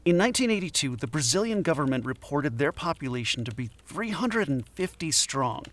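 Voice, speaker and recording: A man's voice: fairly high pitch, very animated, brisk, a bit bright, slight background noise. A man playing a passionate, earnest anthropology professor in his late thirties in an audio drama. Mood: passionate, instructive. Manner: earnest, emphatic lecturing. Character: passionate, frazzled 39-year-old male anthropology professor.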